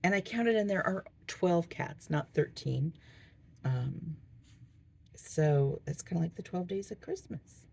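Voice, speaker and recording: A middle-aged woman with a hoarse voice, chatting casually and thinking aloud as she works on a diamond painting. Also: on the low side, animated, slow, a little mellow. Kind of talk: casual crafting vlog chat.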